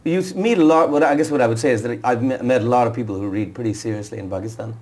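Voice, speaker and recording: A fast-talking man answers questions in a TV interview. He often stops and restarts his sentences, searching for the right words, and his pitch stays in a middling range. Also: darkish tone. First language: Urdu and Punjabi speaker. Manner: fast, hesitant, with many restarts. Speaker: author guest. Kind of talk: TV interview conversation.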